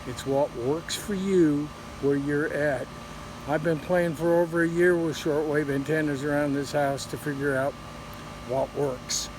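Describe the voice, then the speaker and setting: A man giving a casual, unscripted show-and-tell update outdoors, speaking haltingly and repeating words, recorded on a handheld camera. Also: a little high, fairly even intonation, background noise. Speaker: hobbyist video presenter. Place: outdoors in a residential yard. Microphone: handheld camera microphone.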